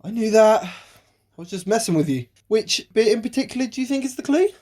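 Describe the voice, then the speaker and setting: A woman's voice: on the high side, expressive, a little fast, dark tone. A woman playing a gullible, confidently wrong comic sidekick in an amateur radio play. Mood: defensive, eager. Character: dim, gullible, cocksure sidekick to an amateur sleuth.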